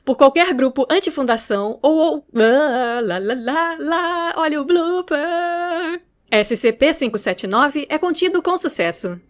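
A Brazilian woman with a high voice records scripted narration. She alternates formal reading with casual muttered asides. Her manner is self-mocking. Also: expressive, a little slow. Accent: Brazilian Portuguese. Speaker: narrator of horror fiction records.

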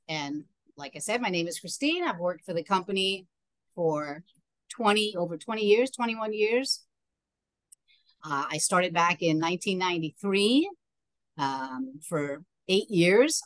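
A middle-aged woman from Trinidad speaks as a webinar guest in a conversational way. Her voice is on the low side, and the video-call sound is dry, with little room in it. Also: a bit bright, no room sound. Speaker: yacht sales representative, webinar guest. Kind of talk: conversational personal account in a webinar.

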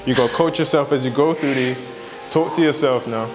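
A young man talks through a glute workout in a home gym, joking around and cueing each move as he goes. His voice sits at a middle pitch for a man, and music plays underneath. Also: brisk. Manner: joking, upbeat, coaching as he exercises. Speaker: fitness vlog host. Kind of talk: casual workout vlog chat.

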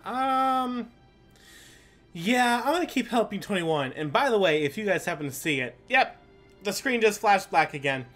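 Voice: medium pitch, animated, normal speed, a bit bright, faint music behind. An enthusiastic, chatty gaming YouTuber, giving casual let's play commentary.

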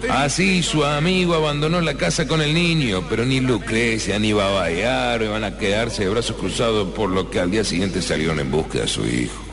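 A man's voice: fairly low pitch, normal speed, hardly any pauses, crowd noise. A man narrating a comic radio serial in scripted melodramatic style.

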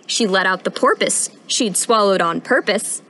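A young woman reading a picture book aloud at storytime in an expressive, sing-song rhyming delivery, recorded outdoors. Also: mid-range voice, moderate pace, a little hiss or hum, low-quality recording. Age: young adult. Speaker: storytime reader, intern. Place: outdoors on a wooden porch.

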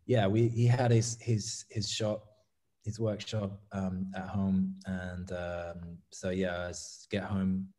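A thoughtful Englishman in his thirties speaks in a low, warm voice, easing through his answers with pauses and restarts. The sound comes through a laptop video call from a small home studio. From England. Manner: relaxed, thoughtful, many ums and restarts. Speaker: guest artist. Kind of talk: casual interview chat. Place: home studio room, indoors. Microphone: laptop webcam video call.

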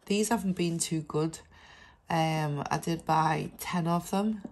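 A woman talks slowly and casually while she scratches cards. Her voice is a little low and sounds blocked up from a cold. She reads out numbers and letters one by one. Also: a little mellow, a little hiss or hum. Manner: slow, chatty, reading out numbers and letters. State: congested with a cold. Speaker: scratch card vlogger. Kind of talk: casual narration over scratch card video.

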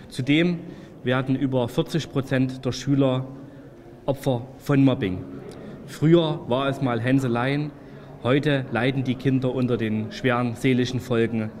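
A man in his forties talks at a middle pitch, concerned and firm in a prepared statement. Long reverb from a large room surrounds him. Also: darkish tone. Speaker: state parliament member. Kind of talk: scripted political statement to camera. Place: indoors before a large window.

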